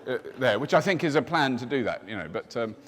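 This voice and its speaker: A middle-aged man hosts a live panel with enthusiastic, joking energy, often breaking off and restarting his sentences. His voice sits at an ordinary pitch for a man. Long reverb from the large hall trails behind him. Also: lively intonation, quick pace, a bit bright. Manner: enthusiastic, jokey, with self-interrupting restarts. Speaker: host.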